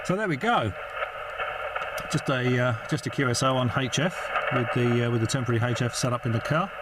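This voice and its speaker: Casual male vlogger, mid-pitched, hesitant and unscripted, very noisy in-vehicle recording. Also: a little fast. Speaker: amateur radio operator presenting a video. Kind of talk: casual vlog narration. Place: inside a parked four-wheel drive in the outback. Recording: very noisy hand-held in-car recording, radio static. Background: radio crackle and vehicle ambience.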